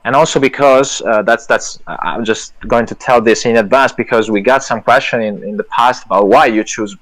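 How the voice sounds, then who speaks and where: A man's voice: mid-range voice, moderate pace, a bit bright, poor audio. A man whose first language is Italian, a project lead speaking as a guest in a live audio chat, hesitant with many fillers and restarts. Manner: earnest, self-deprecating with light laughs.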